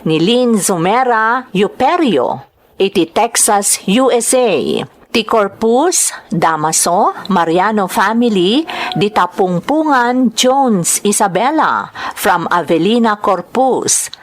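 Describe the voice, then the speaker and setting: Slow-paced Filipino woman with lively pitch. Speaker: host and storyteller of a drama channel. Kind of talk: reading greetings and a listener's letter.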